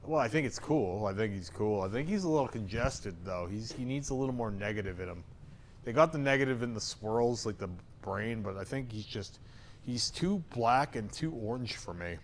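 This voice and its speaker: A man's voice: lowish voice, lively intonation, normal speed, a little hiss or hum. A man with a soothing voice hosting a tattoo reaction show, giving frank, opinionated critiques with casual swearing.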